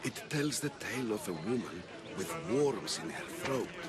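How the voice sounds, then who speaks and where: An actor playing a devout, secretive temple priest in a video game, speaking with cautious, reverent and hesitant manner. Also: male voice, mid-range voice, lively intonation, moderate pace, over a noisy crowd, close to an everyday voice. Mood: reverent, wistful. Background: prominent music.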